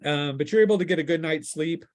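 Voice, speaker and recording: Halting male game master, explaining as he goes. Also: on the high side, darkish tone. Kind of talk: casual tabletop roleplaying narration and rulings.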